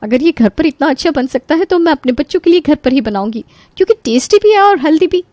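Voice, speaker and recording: ऊँची आवाज़ वाली चहकती, बातूनी अभिनेत्री की निभाई महिला मेहमान. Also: female voice, sits high, expressive, fast, a little mellow, no echo. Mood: curious, impressed. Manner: gushing, mixes in English words. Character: boss's wife, chatty, delighted, insistent dinner guest. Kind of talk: Hindi animated story dialogue.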